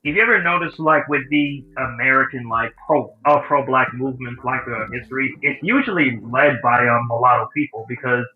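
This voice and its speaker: A man's voice: medium pitch, fairly quick, hardly any pauses. A man in a casual group chat, talking hesitantly with many uhs and ums. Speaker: live stream panelist. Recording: muffled lo-fi audio.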